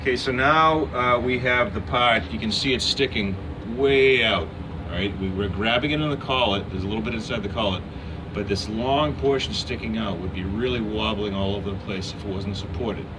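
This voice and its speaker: A man's voice: fairly low pitch, normal speed. A man giving an informal, step-by-step hands-on demonstration as a machine shop instructor. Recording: distant mic, noisy machine shop hum.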